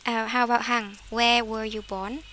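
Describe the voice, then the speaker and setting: A woman's voice: a little high, flat delivery, moderate pace, sharp tone, slight background noise. A woman, an English teacher leading a class conversation and correcting her students' phrasing. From Vietnam.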